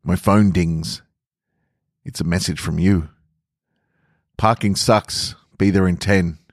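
A man's voice: low voice, steady tone, slow, no echo, clean studio sound. A man hosting a podcast and reading short fiction in an informal, playful manner. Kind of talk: casual intro and narrated short stories.

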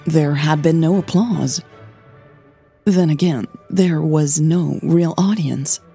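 A woman narrating scripted fiction in a measured, intimate storytelling manner. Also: low voice, warm tone, slightly rough, faint music behind. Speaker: audio drama narrator.

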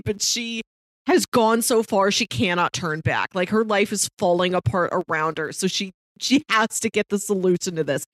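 A woman's voice: mid-range voice, no room sound. A woman co-hosting a review podcast in casual chat, phrasing her thoughts in an articulate way. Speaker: podcast co-host.

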